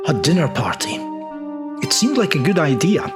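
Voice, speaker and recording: Audio trailer: actress as foreboding female narrator, low register, sweeping intonation, prominent score. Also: sing-song, moderate pace. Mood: ominous. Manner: dramatic. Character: woman ominously recalling a fateful dinner party. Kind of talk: audio drama trailer acting. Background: loud music.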